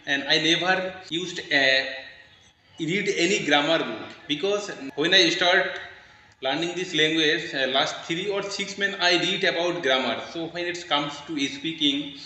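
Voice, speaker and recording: Bright-voiced man in a very echoey room. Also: fairly high pitch, measured pace. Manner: earnest, advice-giving, with restarts. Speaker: English learner in conversation. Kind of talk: casual practice conversation.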